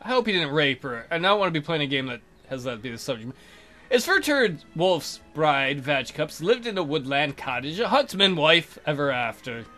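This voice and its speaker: A man narrates a visual novel as a let's play host. His voice sits high and swings widely in pitch. He mixes reading with sarcastic, incredulous asides over faint music. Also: big pitch swings, normal speed, sharp tone.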